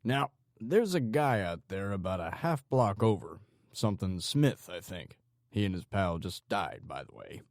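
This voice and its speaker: A man voicing a calm, sinister male psychopathic gangster in an audiobook, with menacing, mock-teacherly politeness. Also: fairly low pitch, measured pace, creaky, no echo, studio quality, full character voice. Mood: calm menace. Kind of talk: audiobook character dialogue.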